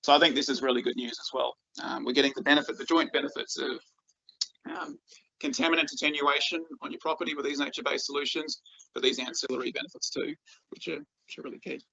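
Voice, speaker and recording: A man's voice: fairly high pitch, animated, fast talker, gravelly, pushed and bright, dry recording, lo-fi sound. A man with an Australian accent giving a conference talk as a researcher, enthusiastic and full of ums and repeated words. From Australia, rural northeast Victoria.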